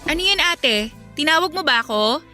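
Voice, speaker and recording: A woman's voice: high voice, animated, normal speed, bright, forceful tone. A Filipino woman acting a spoiled, flippant younger sister in a radio drama, cheeky and dismissive. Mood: casual, dismissive. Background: music.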